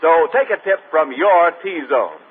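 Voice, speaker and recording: An American man working as a radio announcer, delivering a 1940s style advertising pitch. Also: very animated, normal speed, husky. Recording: tinny vintage radio broadcast.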